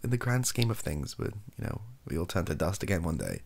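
A young British man, softly spoken, rambling hesitantly through an opinion monologue in a gravelly, husky voice and often trailing off. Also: low-pitched, big pitch swings, a little fast. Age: young adult. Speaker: ASMR YouTuber. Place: small home room, indoors.

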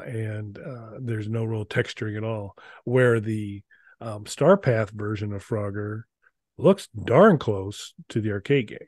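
A man's voice: on the low side, a little mellow, no echo. A middle-aged man hosts in a casual, unscripted way. He rambles and stops and starts with a wry touch. The sound is dry and close, with little room in it. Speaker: co-host of a retro gaming show. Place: small indoor room.

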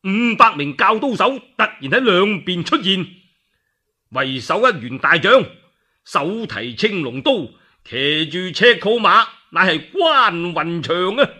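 Animated, husky Cantonese male storyteller. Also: high-pitched, slow pace. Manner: colloquial, theatrical, emphatic. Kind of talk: dramatic solo radio storytelling.

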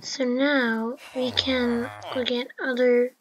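A woman's voice: fairly high pitch, flat delivery, takes it slowly, poor audio. A woman giving casual, chatty commentary over her own gameplay, with fillers and restarts. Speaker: gameplay narrator.

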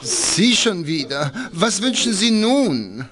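Snooty, high-voiced club receptionist. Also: male voice, big pitch swings, slow, fairly bright tone, heavily acted. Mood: haughty, dismissive. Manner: haughty, formal. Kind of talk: video game acting.

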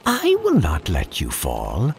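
A man's voice: rumbling low, wildly swooping pitch, takes it slowly, creaky, faint music behind, heavily acted. A man voicing a mischievous, menacing cat trickster in a theatrical, gleeful way for a spooky storybook. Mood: creepy glee. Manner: theatrical, gleeful, chuckling between phrases. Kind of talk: spooky storybook read-aloud.

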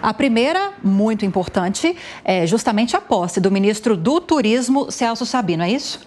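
A young adult woman presenting the news from a TV studio in a measured, clear anchor manner. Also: fairly high pitch, lively intonation, darkish tone, slight background noise.

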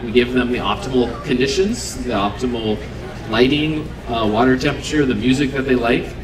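A man in his thirties speaks earnestly to an audience at an outdoor stage, pausing with fillers and starting his sentences over. He is picked up from a distance, so his voice sounds roomy. A crowd murmurs clearly behind him. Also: mid-range voice. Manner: earnest, unscripted, with frequent fillers and restarts. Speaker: seafood company representative. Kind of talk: live demo talk to an audience. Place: outdoor event stage under trees.

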